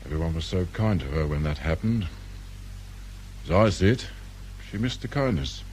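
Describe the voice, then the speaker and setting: Low-voiced British police inspector. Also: male voice, lively intonation, darkish tone, slight background noise. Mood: grave, measured. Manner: measured, politely firm. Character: calm, probing male police inspector. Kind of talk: radio crime drama acting.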